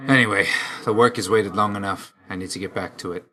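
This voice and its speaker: An actor playing an aloof, weary young god of death in a video game, speaking in a restrained, clipped and solemn way. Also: male voice, fairly low pitch, steady tone, a little fast, sharp tone. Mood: reserved, grudging.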